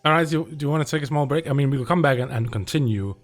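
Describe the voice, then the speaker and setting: A man running a tabletop game, chatting casually with his players, laughing often and pausing with fillers. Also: on the high side. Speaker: game master. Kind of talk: casual chat between tabletop game sessions.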